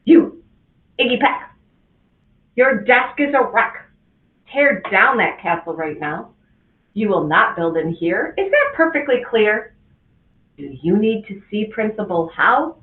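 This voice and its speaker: A woman's voice: medium pitch, sing-song, slow, long pauses. A woman voicing a strict second-grade teacher who scolds her pupils, heard on a tinny, echoey recording from a distance. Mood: scolding. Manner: scolding, emphatic.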